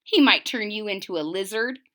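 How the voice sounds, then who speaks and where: Animated, bright-voiced woman reading a storybook. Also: medium pitch, fairly quick. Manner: animated, dramatic, questioning listeners. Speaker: storybook reader. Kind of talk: expressive children's read-aloud with asides to listeners.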